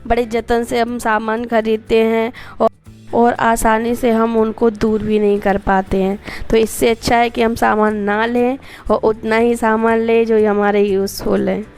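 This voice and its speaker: Woman vlogger, hoarse from a cold, Madhya Pradesh Hindi accent, flat even delivery, music low underneath. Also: a little slow. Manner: chatty, homely, rambling asides to viewers. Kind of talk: casual voice-over narration of a daily routine.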